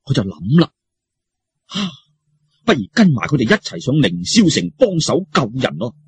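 Male Cantonese storyteller, very raspy, higher-set voice, colloquial audiobook delivery. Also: huge pitch swings, moderate pace, no echo. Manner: colloquial, interjecting, addresses listeners. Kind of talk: animated Cantonese audiobook storytelling of martial arts fiction.

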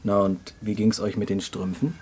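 A curious, slightly sceptical companion in a radio play. His voice is husky and fairly low, and his manner is questioning. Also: level tone, moderate pace, dark tone. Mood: interested. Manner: probing, questioning.

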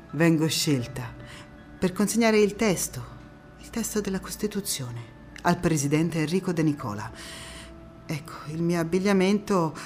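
Young female parliamentarian, theatrical, principled and firm, oratory and quiet recollection, over music. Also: low-pitched. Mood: reflective, subdued. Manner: impassioned oratory alternating with quiet intimate narration. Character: young female Constituent Assembly deputy, firm, indignant, reflective, ironic. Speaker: stage actress. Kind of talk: theatrical monologue.

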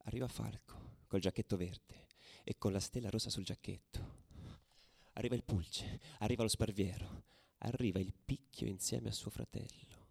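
A hardened football ultra telling his story in a radio play. His voice is low and full of gravelly creak. He talks in streetwise, colloquial phrases with a brooding intensity, as a theatrical monologue. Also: steady tone, normal speed, a little mellow. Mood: grim, confiding. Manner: colloquial, brooding, swinging between murmur and agitated outburst.

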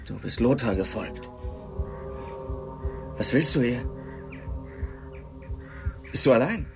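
A man's voice: mid-range voice, expressive, slow pace, creaky, music in the background. An actor playing a cornered, evasive man who stonewalls and dismisses an old friend in a German radio drama. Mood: wary.

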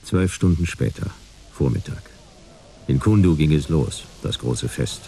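An actor playing a wry, jaded private detective in a noir radio play, laconic and sardonic in clipped sentences. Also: male voice, extremely low pitch, animated, unhurried, gravelly, dark tone, faint music behind, exaggerated voice. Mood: dry, sardonic. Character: jaded, wry male private detective narrating his case.

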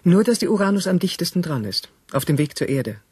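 An actor playing a dutiful subordinate radio operator at a research station, husky, in a science fiction radio play. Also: male voice, high voice, sing-song, fairly quick, darkish tone, no room sound, clean studio sound, exaggerated voice.

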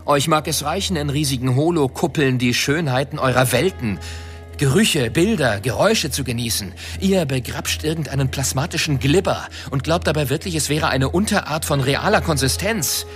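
A man's voice: on the high side, expressive, moderate pace, soft background music, lightly acted. Sardonic, smug male rebel played by an actor. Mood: bitter, impassioned. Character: rebellious, sardonic earthling pioneer with a self-appointed mission. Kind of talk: science fiction radio drama.

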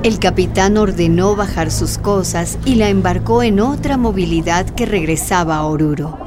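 A woman giving measured, scripted narration for a radio drama. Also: fairly low pitch, noisy background.

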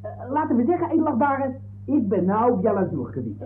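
Casting: excitable fan in the dock, high and swooping, defiant, on tinny old comic radio. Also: male voice, fairly quick, roomy sound. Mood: defensive, flustered. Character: excitable, defiant male football fan defending himself in court. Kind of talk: 1960s comic radio play acting. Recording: tinny, noisy 1960s radio recording.

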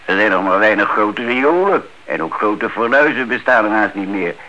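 A dull old radio drama recording with faint noise. a male family doctor with a low, bright, forceful voice. He is affable and drily humorous, humming and hawing as he goes. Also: lively intonation, moderate pace. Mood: dryly amused. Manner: hemming, affable, dry.

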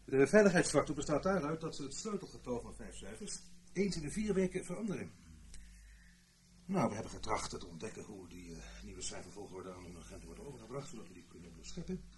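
An actor playing an earnest British intelligence officer, giving a formal, measured explanation in a Dutch radio play. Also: male voice, lowish voice, big pitch swings, background noise. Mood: patient, instructive. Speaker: intelligence briefer.

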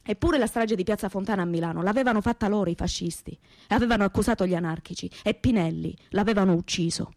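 A woman's voice: medium pitch, fast talker, a little mellow, dry recording, clean studio sound, natural voice. A woman playing a naive, plain-spoken young working-class woman from Reggio Calabria, in a theatrical monologue with a Calabrian accent. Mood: confiding, earnest. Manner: colloquial dialect-tinged telling, repetitive. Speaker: stage actress, narrator. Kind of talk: theatrical first-person monologue, radio theatre storytelling.

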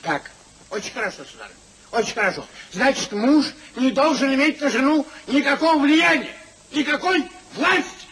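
An elderly gentleman husband in a 1950s radio comedy. His voice is very high and sharp, fussy and exasperated. He declaims indignantly, stretching his exclamations in an old theatrical manner. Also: expressive, a little hiss or hum, full character voice, poor audio. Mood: indignant, scolding. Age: older. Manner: indignant, emphatic, drawn-out exclamations. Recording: 1950s radio drama recording.

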